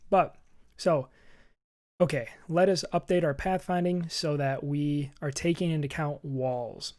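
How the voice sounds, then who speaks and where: Hoarse, scratchy man explaining code. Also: a little high, steady tone, unhurried, a little mellow. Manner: chatty and upbeat, thinking aloud while typing. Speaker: programming tutorial host. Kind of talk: screen-recorded coding walkthrough. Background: keyboard typing.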